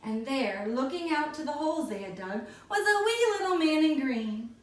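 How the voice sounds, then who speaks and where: A woman with a high voice narrates a children's story expressively, as if to a class. She sounds slightly away from the microphone in an echoey room. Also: big pitch swings, normal speed, far from the mic. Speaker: storybook reader for schoolchildren. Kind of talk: expressive children's read-aloud.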